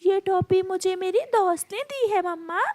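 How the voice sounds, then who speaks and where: A woman's voice: very high-pitched, normal speed, darkish tone, dry recording. A woman voicing a small, innocent, pleading boy bird in a children's cartoon. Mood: excited. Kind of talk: Hindi children's cartoon dialogue.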